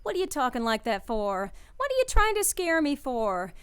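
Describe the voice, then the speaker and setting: Woman acting a high-pitched, high-strung young woman. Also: big pitch swings, moderate pace, clean tone. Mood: frightened, defiant. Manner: agitated, stammering, emphatic. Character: high-strung, defiant young working woman in a ghost story. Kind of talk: radio play acting.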